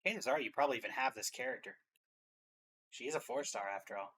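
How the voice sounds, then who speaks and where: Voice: medium pitch, big pitch swings, quick pace, fairly bright tone, slightly rough. A host giving casual, opinionated fan commentary in a reaction show.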